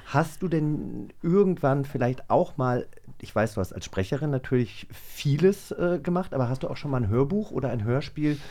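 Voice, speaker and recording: A man's voice: medium pitch, lively intonation, normal speed, warm tone. Male podcast host, mid-range voice, casual and teasing conversational delivery. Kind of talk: casual studio chat.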